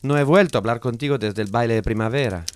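A man's voice: mid-range voice, quick pace, dry recording, lightly acted. A man playing a naive sixteen-year-old boy sailor in an audio drama, with a plain, questioning way of speaking. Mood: wary. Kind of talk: dramatised audio story dialogue.

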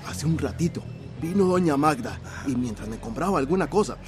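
A man acts as a gossipy village shopkeeper with a Central American accent. His voice is slightly high and animated, eager and fretful, over faint music in a radio drama. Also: a little fast, natural voice. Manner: chatty, gossipy.

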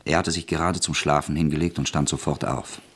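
Really deep raspy guy narrating a radio drama, literary storytelling. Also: fairly even intonation, brisk.

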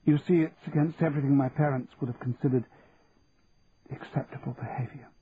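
A man's voice: mid-range voice, steady tone, brisk, phone-quality sound, slight background noise, close to an everyday voice. A man voicing an earnest, well-bred young woman with polite, anxious manners in a story reading. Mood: distressed, polite.

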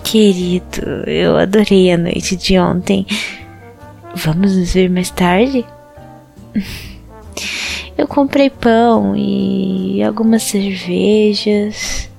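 A woman's voice: medium pitch, fairly even intonation, drawn-out words, vocal fry, mellow, soft background music, full character voice. A woman playing a tender, languid lover in her twenties in an audiodrama. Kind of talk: audiodrama reading of a love note.

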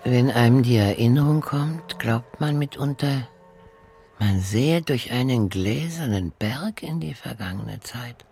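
An older actress playing an elderly former nanny who recalls the past in a reflective, deliberate radio drama monologue. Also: female voice, extremely low pitch, lively intonation, gravelly, dark tone, full character voice. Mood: wistful, grave. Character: elderly former nanny, wistfully recalling pre-war Prague.

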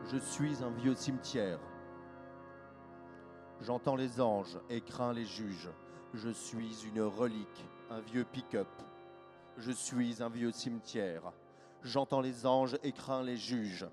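A man reciting short poetic lines over and over in a calm, incantatory way. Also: mid-range voice, slow pace, over music. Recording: long hall reverb.

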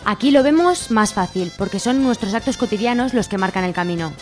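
A woman's voice: medium pitch, animated, fairly quick, soft background music. A woman with an accent from Spain, reading a scripted manifesto as a radio presenter. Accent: Spanish from Spain.

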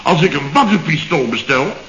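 Blustering, sarcastic company boss, sharp-toned and ranting, old radio comedy, roomy and dull. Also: male voice, fairly high pitch, lively intonation, normal speed, a little hiss or hum. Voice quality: sarcastic, ranting, mimics others mockingly. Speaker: boss of a building firm. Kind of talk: 1960s radio comedy acting.

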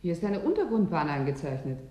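A woman's voice: fairly low pitch, huge pitch swings, fast, mellow. Blunt, insistent woman newcomer. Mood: businesslike, puzzled. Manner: direct, questioning, impatient. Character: blunt, insistent female newcomer to an icebound settlement. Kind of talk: German radio play acting.

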